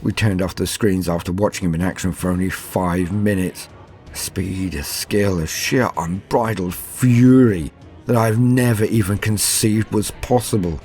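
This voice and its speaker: A man playing an arrogant villainous officer in a dramatic first-person horror tale, emphatic and theatrical with weighty pauses. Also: deep voice, a little mellow, faint music behind. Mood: dread, resignation. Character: arrogant male villain officer sinking into dread.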